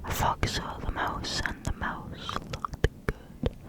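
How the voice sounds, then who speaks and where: A woman reading children's stories in a soft bedtime whisper. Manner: soft unvoiced whisper, slow and sing-song. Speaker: storyteller.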